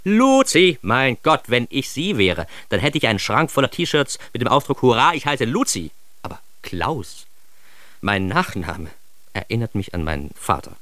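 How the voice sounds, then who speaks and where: A man's voice: mid-range voice, big pitch swings, moderate pace, a bit bright, no echo. A man playing a neurotic, self-mocking narrator of his own childhood in a radio drama, in standard German with a wry, theatrical manner. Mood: envious exasperation.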